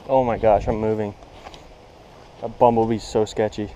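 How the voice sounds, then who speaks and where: A man's voice: lowish voice, little pitch movement, moderate pace, warm tone, lo-fi sound, a little hiss or hum. A young man fishing at a pond, talking to himself in casual, sarcastic running commentary on a head-mounted camera. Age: young adult. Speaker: fishing vlogger. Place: outdoors by ponds in tall grass. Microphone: head-mounted action camera.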